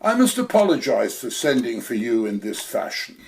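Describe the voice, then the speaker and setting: A man's voice: medium pitch, sing-song, normal speed. A man playing a suave, scheming older treasure hunter in a community radio play, with a pompous, florid and long-winded manner.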